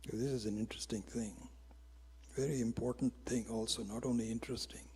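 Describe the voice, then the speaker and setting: Mellow, husky-voiced man lecturing in a hall. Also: mid-range voice, steady tone. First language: Hindi. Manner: reflective and unhurried, pausing often with restarts and fillers. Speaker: Vedanta teacher. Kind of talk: informal spiritual lecture.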